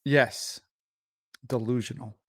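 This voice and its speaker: A man's voice: medium pitch, fairly even intonation, takes it slowly, no room sound, clean studio sound. A man whose first language is Arabic, co-hosting a casual livestream, heated and profane, often repeating words as he rants. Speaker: podcast co-host.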